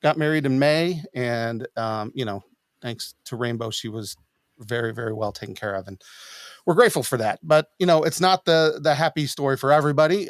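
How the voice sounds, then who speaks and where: A middle-aged man talks casually as a podcast host. His delivery is halting and a little hesitant, at a medium pitch. The sound is close and dry, on a studio mic. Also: clear, resonant tone, dry recording. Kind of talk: casual chat. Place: small home studio room. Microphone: boom-arm studio mic up close.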